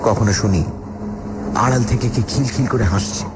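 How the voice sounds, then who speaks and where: A man's voice: medium pitch, moderate pace, very noisy, lightly acted. A man playing a frightened first-person narrator in a dramatic horror story, telling of his haunted-house ordeal. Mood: fearful, tense. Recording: loud eerie music and effects behind.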